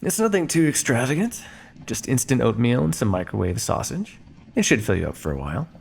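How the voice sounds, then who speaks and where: A man's voice: mid-range voice, sing-song, brisk, creaky, a little hiss or hum. A man playing a smug, teasing vampire scientist captor in an ASMR roleplay, speaking with a theatrical, drawling, mock-polite and sardonic manner. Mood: teasing, wry. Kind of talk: ASMR roleplay monologue addressed to listener.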